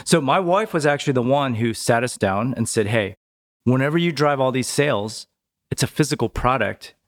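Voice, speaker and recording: A man talking as a podcast guest in a relaxed, chatty way, often tagging his points with a quick question. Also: mid-range voice. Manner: relaxed, chatty, tags sentences with "right?". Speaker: podcast guest, business owner. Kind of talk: casual conversational interview.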